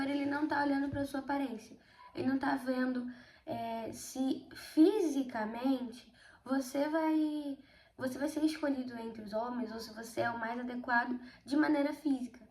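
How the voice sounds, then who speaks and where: A sixteen-year-old girl with a Brazilian accent, slightly hoarse from a cold, chatting through a casual Bible study vlog and often addressing her viewers. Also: high voice, a little mellow, echoey room. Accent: Brazilian Portuguese. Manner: chatty, keeps addressing viewers, emphatic storytelling. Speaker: video host.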